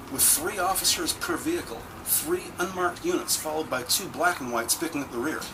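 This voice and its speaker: A man's voice: fairly high pitch, noisy background. A man narrating a scripted crime drama as a dramatic, detail-heavy storyteller.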